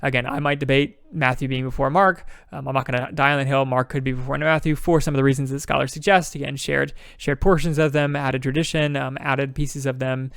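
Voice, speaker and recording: A podcast co-host who talks fast and thinks aloud, backing up and restarting his points. His voice is smooth and clear, and it sits dry and close to the mic with no room sound. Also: medium pitch, fairly even intonation, a bit bright, no echo. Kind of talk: casual discussion.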